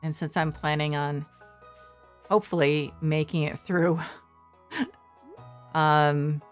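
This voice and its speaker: A woman streaming a farming game from her shared living room, rambling softly with many fillers. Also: deep voice, takes it slowly, soft background music. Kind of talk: casual gameplay commentary.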